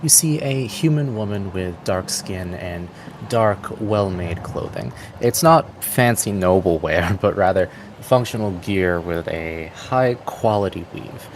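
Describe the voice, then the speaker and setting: A man narrating a tabletop roleplaying game as game master, with measured, evocative storytelling. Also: deep voice, slight background noise. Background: music.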